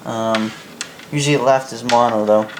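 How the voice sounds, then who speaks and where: A young adult man with a Canadian accent giving a casual, unscripted hobby demonstration in a halting, rambling way, often repeating words. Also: medium pitch, steady tone. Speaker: vintage radio hobbyist and host. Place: indoor workbench in a room. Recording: home video with faint background noise.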